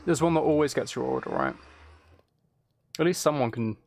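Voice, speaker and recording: Male game streamer, gravelly medium-pitched voice, low-key casual chat, close mic with keyboard clicks. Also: moderate pace, a little mellow, slight background noise. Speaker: streamer playing a game while chatting with viewers.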